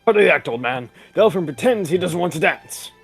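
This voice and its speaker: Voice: mid-range voice, expressive, fast, creaky, loud music behind the voice. An actor playing a pompous, florid old ham in a 1940s-style radio comedy. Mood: theatrical commentary. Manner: florid, exclamatory, old-fashioned phrasing. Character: pompous, theatrical comic old ham actor Vitamin Flintheart.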